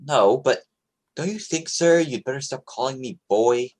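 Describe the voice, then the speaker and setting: Man playing an earnest young sea captain, husky voice. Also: medium pitch, lively intonation, moderate pace, darkish tone, close to an everyday voice. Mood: respectful. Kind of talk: radio play acting.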